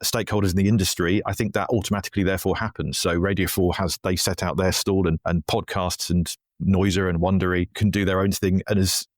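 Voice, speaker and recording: A man's voice: sits low, little pitch movement, fast talker, dry recording, studio quality. A man speaking enthusiastically and conversationally as an interview guest who writes audio drama.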